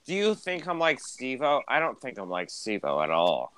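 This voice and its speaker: A man in his twenties bantering in a casual group chat, teasing and quick to get defensive. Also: medium pitch, huge pitch swings, fairly bright tone, smooth, no echo. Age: 20s. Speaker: podcast co-host.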